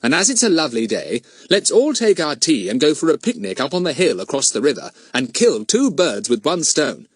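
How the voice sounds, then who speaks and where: A man voicing a confident, cheeky boy detective in a children's storybook, brisk and well-mannered. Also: a little high, big pitch swings, breathy edge, no room sound, pitched above the performer's own voice. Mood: planning, confident.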